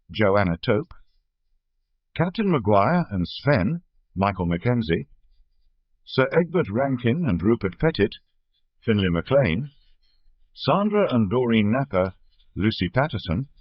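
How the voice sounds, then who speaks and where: A man's voice: lowish voice, dry recording, dull audio. A man reading scripted drama credits as a radio announcer.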